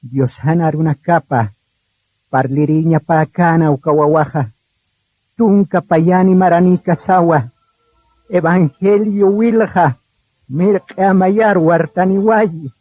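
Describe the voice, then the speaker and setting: A man narrates a radio drama in a solemn, deliberate storytelling style. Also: a little high, dry recording. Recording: tinny narrow-band radio sound with music. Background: music.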